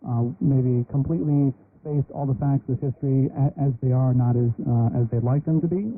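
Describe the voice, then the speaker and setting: A male radio host poses questions in a medium-pitched voice. The sound is narrow, like a phone line, with faint shortwave noise. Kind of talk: interviewing, asking questions.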